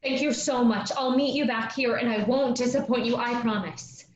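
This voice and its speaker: Woman as keen young girl in a play reading, highish voice, echoey room. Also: steady tone, moderate pace, close to an everyday voice. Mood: eager. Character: eager young girl Madge, keen to help and belong. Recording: roomy, noisy, muffled sound.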